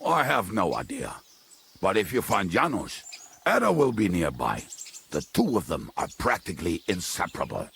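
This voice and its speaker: A roguish medieval mercenary for a video game. His voice is low and gravelly, and it swings widely up and down as he talks. He is blunt, colourful and jovial in a wry, foul-mouthed way. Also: huge pitch swings, moderate pace, fairly bright tone, a little hiss or hum, exaggerated voice. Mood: amused. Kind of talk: dramatic video game dialogue.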